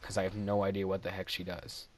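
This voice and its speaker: Young male gameplay commentator: loose, rambling, giggly, a touch low-pitched. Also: flat delivery, fast. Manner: rambling, self-deprecating, giggly. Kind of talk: casual game commentary voice-over.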